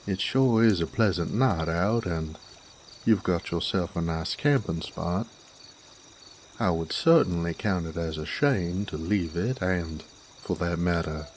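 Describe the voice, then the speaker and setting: A man playing a genial, smooth-talking cowboy drifter with an American Western drawl, courteous and wordy in an audio roleplay. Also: deep voice, a little mellow, a little hiss or hum. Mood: coaxing, easygoing. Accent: American Southern/Western drawl. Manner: folksy, courteous, wordy, unhurried. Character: smooth-talking male werewolf bounty hunter drifter, genial Western wanderer. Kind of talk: Western audio roleplay, acted monologue to listener.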